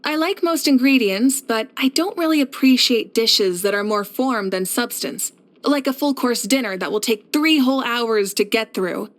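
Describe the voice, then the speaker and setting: A woman playing a confident, warm young warrior leader in a video game, speaking in a poised, measured, earnest way. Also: fairly high pitch, lightly acted. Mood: thoughtful. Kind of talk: scripted video game character voice lines.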